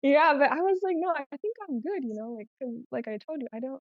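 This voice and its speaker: Woman w spanish first language, kinda high voice, candid casual podcast guest telling stories, slightly muffled audio. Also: expressive, a little fast. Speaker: podcast guest, small business owner. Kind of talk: casual personal storytelling. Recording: roomy, echoey, slightly dull sound.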